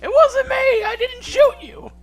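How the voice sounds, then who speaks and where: Woman acting a high, excitable brash lady detective. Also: measured pace, soft background music, exaggerated voice. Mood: defensive, upset. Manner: emphatic, defensive, excitable. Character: brash, headstrong young female detective, highly strung.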